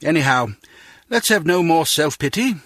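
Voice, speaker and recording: An actor voicing a courteous middle-aged spymaster in a video game, speaking in a measured, formal, gentlemanly way. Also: male voice, a little high, expressive, no echo, studio quality. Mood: reassuring, reflective. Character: courteous middle-aged British spymaster, encouraging ex-field agent. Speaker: spy agency chief.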